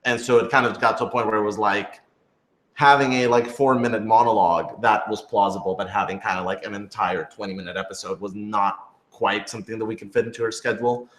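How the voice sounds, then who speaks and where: A man with a fairly low voice talks in an echoey room. He is a show creator answering fan questions in a casual panel, thinking out loud and rephrasing his points as he goes. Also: steady tone, quick pace. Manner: thinks aloud, hedging and rephrasing. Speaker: radio drama creator, writer and director answering fan questions. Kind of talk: informal panel Q&A. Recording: roomy, echoey room sound.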